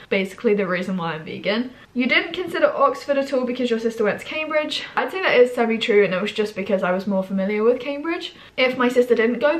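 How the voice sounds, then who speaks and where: A woman in her twenties from Britain, croaky and hoarse from a cold, chatting to camera in a rambling, casual way. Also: mid-range voice. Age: 20s. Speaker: vlogger.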